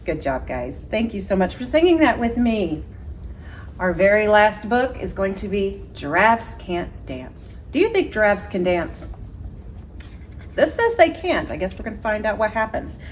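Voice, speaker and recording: A woman hosting a children's story time, reading aloud in an expressive, encouraging way. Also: medium pitch, a little slow, a little hiss or hum. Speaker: library story time host.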